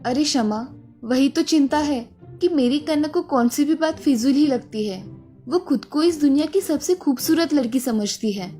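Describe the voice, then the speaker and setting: A man's voice: extremely high pitch, normal speed, mellow, faint music behind, pitched above the performer's own voice. A man doing a fretful scolding mother. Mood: chatty. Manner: scolding, anxious. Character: worried scolding middle-aged mother.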